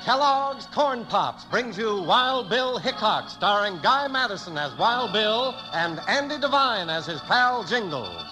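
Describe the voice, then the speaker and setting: A man's voice: high-pitched, sing-song, measured pace, hardly any pauses, low-quality recording. An American man announcing and narrating a western adventure in dramatic, scripted 1950s radio style. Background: prominent music.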